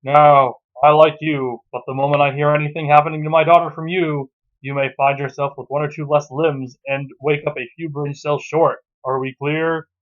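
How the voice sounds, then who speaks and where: A story reader voicing a stern mafia father who threatens a suitor in a measured, intimidating way, on a lo-fi recording. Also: mid-range voice, level tone, close to an everyday voice. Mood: quietly menacing. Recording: dull, muffled lo-fi sound.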